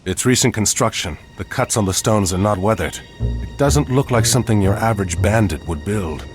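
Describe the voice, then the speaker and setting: An actor playing a bold, resolute middle-aged warrior hero in a video game, with a commanding delivery. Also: male voice, fairly low pitch, moderate pace, over music, close to an everyday voice. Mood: wary, grave. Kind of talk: scripted video game cutscene acting.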